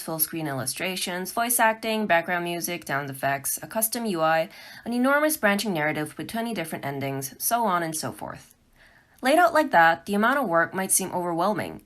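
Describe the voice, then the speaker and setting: A woman's voice: on the low side, fairly bright tone. A woman presenting a scripted, pre-recorded instructional talk as an amateur developer.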